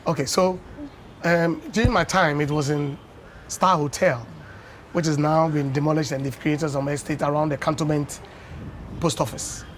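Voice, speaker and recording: Higher-voiced Ghanaian man telling stories. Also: fairly even intonation, slight background noise. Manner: anecdotal storyteller, unhurried with many "you know"s. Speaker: guest, former dance champion. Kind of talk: conversational interview on breakfast TV. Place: television studio.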